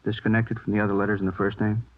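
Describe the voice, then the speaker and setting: An American man playing a methodical police handwriting expert, explaining haltingly and checking that he is followed, in 1950s radio drama style. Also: fairly low pitch, little pitch movement, lightly acted. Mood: absorbed, matter-of-fact. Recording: tinny old radio recording.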